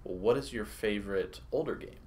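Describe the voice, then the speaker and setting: A young man with a fairly low voice runs the interview, firing off questions and quick joking asides. Faint background noise hums under the stream. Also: darkish tone. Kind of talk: casual livestream Q&A.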